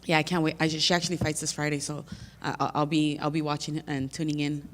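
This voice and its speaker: A woman's voice: deep voice, level tone, a little fast, slight background noise. Plainspoken woman fighter in an echoing hall. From United States. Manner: earnest, plainspoken, repeats words when searching. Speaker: fighter at media day. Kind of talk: press conference answers.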